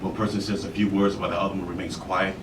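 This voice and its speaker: A man performs an embittered bar owner and father in a dramatic monologue, confrontational and full of self-blame. He speaks haltingly and hammers phrases by repeating them. The mic is distant, the room echoes, and a clear rumbling noise sits underneath. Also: flat delivery, lightly acted. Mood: sardonic, simmering.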